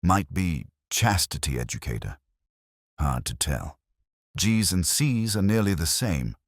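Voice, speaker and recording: A man's voice: low voice, big pitch swings, measured pace, dry recording, clean studio sound, heavily acted. A man voicing a puzzled, plain-spoken male police sergeant in a mystery story, matter-of-fact.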